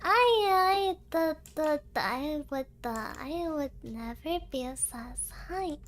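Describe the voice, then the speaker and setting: Very high, childlike-pitched woman, cutesy chat. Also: slow pace, a bit bright, slight background noise. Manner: cutesy, mixes Japanese words into English. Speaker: streamer, VTuber. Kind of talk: playful casual chat.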